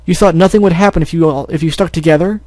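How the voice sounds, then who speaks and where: A man voicing an exhausted adult stallion who shouts and scolds his little sister. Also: medium pitch, normal speed, dry recording, natural voice. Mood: scolding. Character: adult stallion, exhausted and angry big brother.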